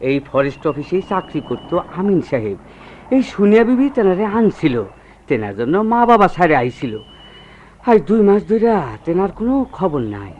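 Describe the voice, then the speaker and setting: A man's voice: high-pitched, big pitch swings, normal speed, darkish tone. A man playing a rustic working man in a radio drama, telling his tale plaintively and deferentially in rural Bangladeshi dialect. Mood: sorrowful. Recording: dull lo-fi radio recording with noise.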